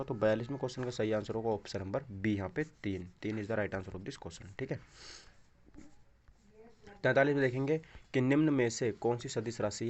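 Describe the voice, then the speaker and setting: A man teaching in an instructional style, going through quiz questions and repeating answers with short check questions. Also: mid-range voice. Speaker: exam coaching teacher. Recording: dull, lo-fi microphone sound.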